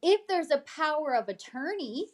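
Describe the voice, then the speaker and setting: A high-voiced American woman co-hosts a casual livestream. She reads items aloud in an informal, chatty way, dropping in asides and short check-in questions. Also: very animated, moderate pace. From United States. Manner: informal, frequent check-in tags like "okay?". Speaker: host, real estate agent reading a newsletter.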